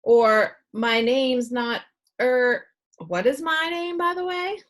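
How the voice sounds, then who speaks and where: A woman voicing a small, round old male doctor who is excitable, blustery and absent-minded in a children's storybook. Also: high voice, slow pace, pitched above the performer's own voice. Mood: baffled, blustery.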